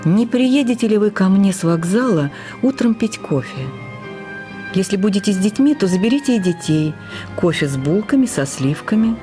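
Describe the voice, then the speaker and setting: A woman voicing an ailing, affectionate but testy male writer in a radio literary reading, gently teasing and abrupt. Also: high-pitched, expressive, normal speed, music in the background. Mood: gently playful.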